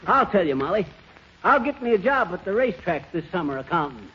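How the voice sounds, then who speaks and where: A work-shy husband who argues with folksy wisecracks. His pitch swings in lively fashion. The sound is a muffled, lo-fi old radio comedy broadcast from the 1930s. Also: a little fast, husky, heavily acted. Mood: joking, defensive. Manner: folksy, joking, argumentative.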